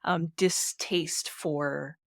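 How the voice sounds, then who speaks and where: A woman with a naturally slightly low voice, co-hosting a casual book-discussion podcast and talking thoughtfully with many fillers. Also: steady tone, extremely slow, creaky, no echo, studio quality. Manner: thoughtful, with frequent "you know" and "um". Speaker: podcast co-host.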